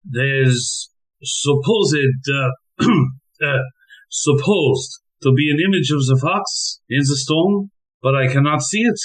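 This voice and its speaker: An actor playing a deferential, hesitant police officer in a comedic audio play. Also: male voice, a little high, slow pace, poor audio.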